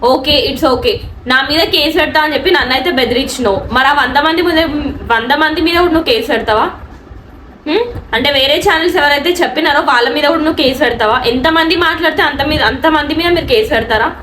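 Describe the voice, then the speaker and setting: A woman with a Telangana Telugu accent argues her side in an insistent, defensive way, dropping in English words. Her voice is high and sharp. She is recorded in an echoey room as she addresses a critic directly. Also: brisk. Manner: indignant, defensive, insistent rhetorical questioning; mixes English words into speech. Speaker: video creator and online anchor. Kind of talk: spoken response video addressed to a critic. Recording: echoey room with faint background noise.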